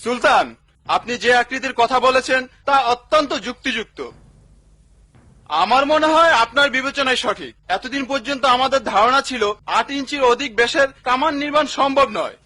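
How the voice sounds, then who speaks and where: A man's voice: very high voice, a little fast, full character voice. A man voicing an eager, deferential cannon engineer in a cartoon dub. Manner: excited, deferential.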